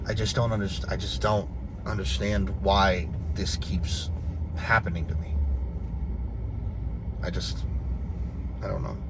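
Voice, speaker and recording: A young man with a deep voice, vlogging a halting, confused personal rant full of restarts. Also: background noise.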